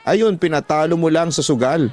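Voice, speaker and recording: A man's voice: a little high, moderate pace, darkish tone, pitched above the performer's own voice, close to an everyday voice. A man playing a frustrated husband in a radio drama, emphatic, scolding and pleading. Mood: angry scolding. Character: frustrated husband pleading with gambling-addicted wife. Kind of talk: Tagalog radio drama acting.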